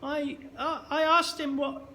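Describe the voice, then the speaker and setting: A man's voice: extremely high pitch, flat delivery, slow, sharp tone, pitched above the performer's own voice, heavily acted. A man voicing a timid, frightened young schoolboy answering his teacher. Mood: pleading.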